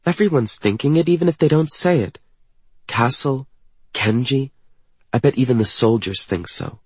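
Man voicing an anxious young woman, pitched up. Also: a little mellow, slightly rough, no room sound, natural voice. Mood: quietly defeated. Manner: hesitant, halting, emotional delivery. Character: anxious, self-doubting young woman, tender and apologetic. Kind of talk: audiobook dialogue acting.

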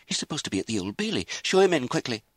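Storybook young lady, anxious and indignant, played by a male narrator, raised pitch, emphatic, dry studio sound. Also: very animated, fast, fairly bright tone, dry recording. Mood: anxious, puzzled. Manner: emphatic, exclaiming. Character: outraged young married gentlewoman, anxious and indignant. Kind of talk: audiobook character acting.

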